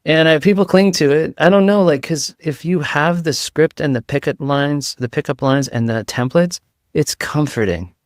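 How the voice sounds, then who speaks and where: A man with a light voice, a guest in a casual interview, talking in rapid-fire analogies with frequent restarts. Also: fairly high pitch, no echo, studio quality.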